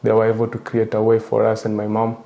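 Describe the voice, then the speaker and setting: A young man, a soldier answering interview questions calmly in short replies. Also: on the low side, fast talker, creaky. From raised in Kenya, born in Rwanda. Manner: brief, calm answers. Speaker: guest, US Army soldier.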